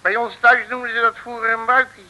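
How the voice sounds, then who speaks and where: An actor playing a cocky, folksy detective in a comic 1950s radio serial, bossy, wisecracking and colloquial, with hesitant asides. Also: male voice, very high voice, flat delivery, brisk, pushed and bright, muffled recording, full character voice. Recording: noisy old radio drama recording.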